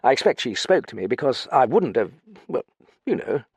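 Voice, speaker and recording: A man voicing a naive, flustered young gentleman with hesitant, stammering upper-class slang. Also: mid-range voice, very animated, moderate pace, husky, dry recording, pitched above the performer's own voice. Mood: chatty, awkward. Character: plump young English gentleman, naive, flustered, rather foolish.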